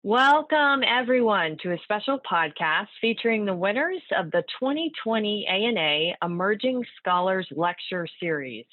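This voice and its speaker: A woman's voice: medium pitch, animated, moderate pace, few pauses, dry recording. A woman neurologist moderating a panel interview, friendly and encouraging, with some fillers. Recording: tinny phone-like line, dry.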